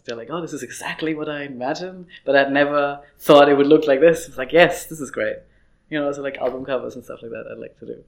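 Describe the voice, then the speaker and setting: A man's voice: mid-range voice, gravelly. Rambling, easygoing man. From Austria. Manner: rambling, restarts often, laughs easily. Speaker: illustrator, interview guest. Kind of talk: casual interview chat.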